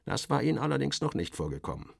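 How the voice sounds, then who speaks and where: A man narrating satirical first-person literary prose in a wry, expressive way with clipped phrasing. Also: medium pitch, fast, gravelly, husky, dry recording, clean studio sound.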